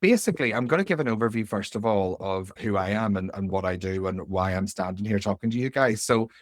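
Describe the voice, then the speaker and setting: A Northern Irish man in his late thirties leads a webinar from home over a computer mic. His voice sits low and sounds dry and close. He talks in a chatty, informal way, full of ums, as he answers the chat. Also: expressive, brisk, dry recording. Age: 30s. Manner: chatty and informal, many fillers, reads out chat replies. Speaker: career coach. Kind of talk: live webinar presentation. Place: home room.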